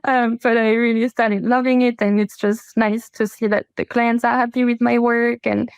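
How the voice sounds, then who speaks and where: French-accented young woman, gravelly voice. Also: fairly high pitch, little pitch movement, moderate pace, dry recording, studio quality. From France. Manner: earnest, with frequent fillers and restarts. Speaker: guest, SEO content writer. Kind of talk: casual interview chat.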